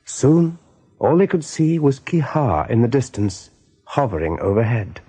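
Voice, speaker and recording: A man narrating a radio play in a measured, literary storytelling manner. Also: mid-range voice, very animated, dark tone, breathy edge.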